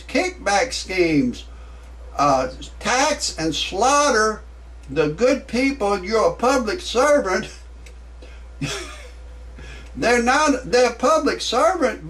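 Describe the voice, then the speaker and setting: A man's voice: sits high, fairly bright tone, distant mic. Blustering man in his sixties. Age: 60s. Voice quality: profane, blustering. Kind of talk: ranting stand-up monologue.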